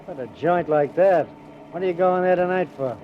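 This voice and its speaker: An actor playing a nosy, chatty American cab driver in an old-time radio drama, with slangy, ungrammatical working-class talk. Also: male voice, fairly high pitch, normal speed, lightly acted. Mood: nosy, eager. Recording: dull, noisy vintage radio recording.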